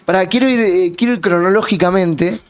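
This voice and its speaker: A man with a Rioplatense Spanish accent hosting a casual interview, who jumps in with quick, playful interjections. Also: sits high. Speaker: show host.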